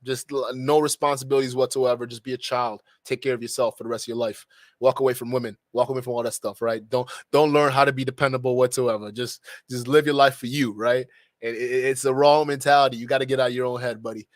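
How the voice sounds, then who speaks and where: A young man from Nigeria hosting a live stream, giving an animated, preachy monologue with slangy asides. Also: medium pitch, fast talker, no echo, clean studio sound.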